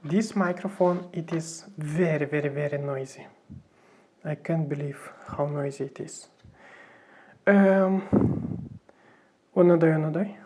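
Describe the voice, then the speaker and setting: A woman testing microphones in a room, talking casually and hesitantly with many fillers, and reading haltingly from a book. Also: low-pitched, background noise. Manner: reads aloud haltingly from a book. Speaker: gear reviewer testing microphones. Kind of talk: casual mic test vlog. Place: indoor room. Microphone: various test microphones, condenser among them.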